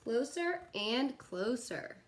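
A woman in her thirties reads aloud for small children in a fairly high voice. She takes it very slowly, with a sing-song delivery. There is some steady room noise behind her, as in a living room. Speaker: teacher reading a picture book aloud. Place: living room at home, indoors.

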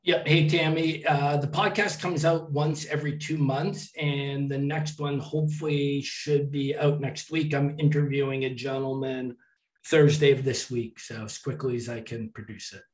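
A man's voice: medium pitch, normal speed. A man in his fifties from the United States, talking casually about travel on a video call from a small room at home. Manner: relaxed, matter-of-fact explaining with lists. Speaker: guest, travel podcaster. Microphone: webcam on a video call. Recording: roomy, distant webcam audio.

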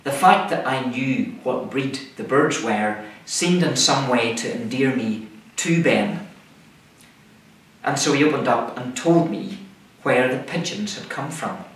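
A middle-aged man, a minister delivering a scripted sermon in measured, deliberate short phrases. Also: mid-range voice, lively intonation, a bit bright. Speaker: minister preaching. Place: indoors, small room, seated at a table. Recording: echoey room, far microphone, faint noise.

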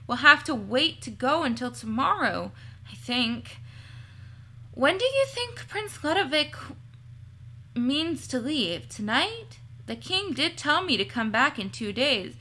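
A woman's voice: high voice, pushed and bright, heavily acted, rough recording. A woman voicing an earnest, worried girl heroine from a storybook, who frets and thinks aloud in a chatty way.

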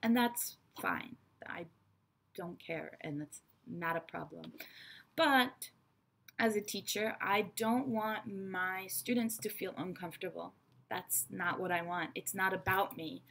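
A woman in her thirties, raised between Israel and America, giving a chatty, frank English lesson to her webcam in a small bright room. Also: mid-range voice, slow, creaky. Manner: chatty, frank, teacherly asides. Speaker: English teacher. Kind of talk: online English lesson to camera. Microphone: webcam at desk.

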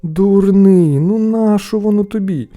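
A man's voice: fairly high pitch, animated, a little fast, vocal fry, dark tone, faint music behind, pitched above the performer's own voice. A man voicing a scolding, exasperated peasant elder brother in a storybook tale, scornful and nagging.

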